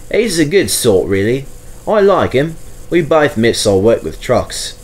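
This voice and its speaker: A man's voice: medium pitch, sing-song, unhurried, a little mellow. A man voicing a friendly vintage steam engine, chatty and earnest, in a storybook. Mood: wistful.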